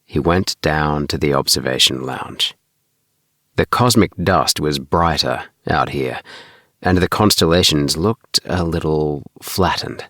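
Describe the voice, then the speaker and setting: A man reads a bedtime audiobook in a low voice. His pace is slow and unhurried, and the sound is close and dry, with no room around it. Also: a bit bright, no echo. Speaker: audiobook reader. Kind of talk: scripted bedtime story narration.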